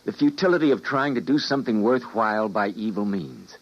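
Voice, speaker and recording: A man acts out a weary, idealistic scientist in an old radio play. His low voice is husky and grave, swinging dramatically as he tells of his downfall. The recording is dull and aged. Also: a little fast, natural voice. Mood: grave, bitter. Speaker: narrator and lead actor.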